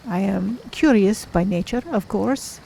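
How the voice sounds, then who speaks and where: A curious, cautious cat-woman adventurer from a fantasy game, voiced by a woman roleplaying. She speaks in a measured, formal manner with a soft, mellow tone and a creaky edge. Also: medium pitch, a little hiss or hum. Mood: thoughtful. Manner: measured, formal, speaks of self in third person. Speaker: streamer roleplaying a character. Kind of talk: in-character game roleplay narration.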